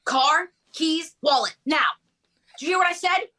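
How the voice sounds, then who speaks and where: Woman playing a brash high-pitched street carjacker. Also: normal speed, sharp tone. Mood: threatening. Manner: blustering, street-slang comic delivery. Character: brash male carjacker turned panicked hostage. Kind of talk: comedic table read.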